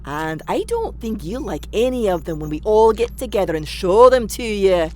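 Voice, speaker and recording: Feisty crewwoman played by an actress, sharp-tongued, very lively intonation, for a sci-fi comedy radio play. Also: medium pitch, normal speed, slight background noise, close to an everyday voice. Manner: sarcastic, blunt. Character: feisty, sharp-tongued woman spaceship crew member. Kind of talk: sci-fi comedy audio drama acting.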